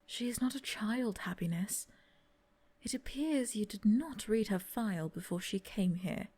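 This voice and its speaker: A woman playing a dutiful masked female butler in an audio roleplay drama, speaking in a soft, formal whisper. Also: medium pitch, a little slow, breathy edge. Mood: hushed.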